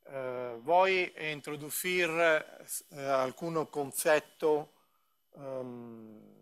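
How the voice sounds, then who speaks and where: A middle-aged Italian-accented man gives a conference talk in a language he is still learning. His voice is a little higher than most men's and smooth, and he speaks very slowly. He halts often, filling pauses as he searches for words. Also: sharp tone. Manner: halting, many fillers, mixes in Italian words. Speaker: university professor presenting.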